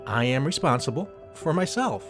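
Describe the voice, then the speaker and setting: A streetwise wild mouse voiced by a man, cocky and brusque, drawling teasing lines in an audio drama. The pitch moves around a lot, over clearly audible music. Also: big pitch swings, normal speed, lightly acted. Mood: bossy, teasing.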